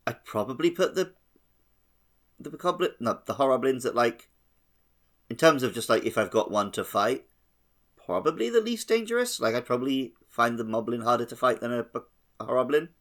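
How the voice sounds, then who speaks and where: A man's voice: medium pitch, expressive, brisk. A man chatting casually as the co-host of a gaming podcast.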